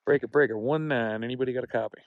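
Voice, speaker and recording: A man's voice: mid-range voice, very fast, phone-quality sound, soft background music. A man doing a casual field test outdoors, talking in a blunt, grumbling way. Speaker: radio gear reviewer. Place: outdoors on a mountain top. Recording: noisy outdoor recording with radio interference.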